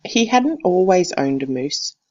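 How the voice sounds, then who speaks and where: A teacher reads a picture book aloud in a deliberate, expressive storytelling style, on a slightly dull recording. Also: medium pitch. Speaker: teacher reading to a class.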